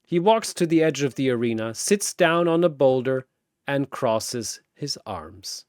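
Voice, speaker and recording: A man narrates a battle story with theatrical emphasis. His pitch swings widely while the pace stays slow and deliberate, in a very dry recording. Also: animated, darkish tone, clean tone, no room sound. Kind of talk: dramatic scripted battle narration.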